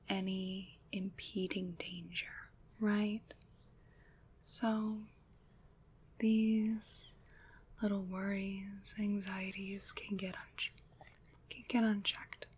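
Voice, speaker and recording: A woman playing a gentle, protective witch in an ASMR roleplay, whispering in a soft, reassuring, measured way. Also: medium pitch. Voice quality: breathy, unvoiced whisper. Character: gentle, protective witch soothing away nightmares.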